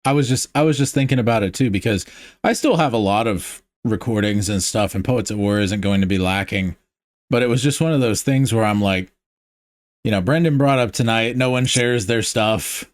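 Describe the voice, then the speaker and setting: A man's voice: on the low side, moderate pace, no echo, studio quality. A man hosting a casual podcast conversation, talking hesitantly with many fillers and restarts. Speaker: podcast host. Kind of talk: casual group chat.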